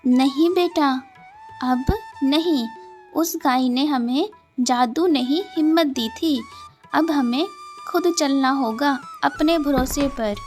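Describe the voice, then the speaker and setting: A loving old grandmother in an animated storybook, voiced by a woman narrator. She speaks slowly in a high voice. She sounds calm, firm and reassuring. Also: faint music behind. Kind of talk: cartoon storybook voice acting.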